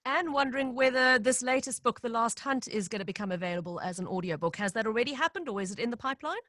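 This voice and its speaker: A woman's voice: a little high, expressive, quick pace, fairly bright tone, no echo, clean studio sound. A woman hosting a live radio interview, asking questions and reading out listener messages in a friendly way. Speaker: radio host.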